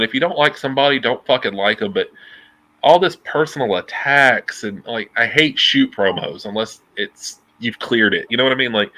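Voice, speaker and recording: A man's voice: medium pitch, rough recording. A man in his thirties with a nasal voice, a guest talking casually and profanely on a video call over earbuds, waving his hands as he talks. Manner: profane, animated, gesturing a lot. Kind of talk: casual interview chat. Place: dim room at home, indoors.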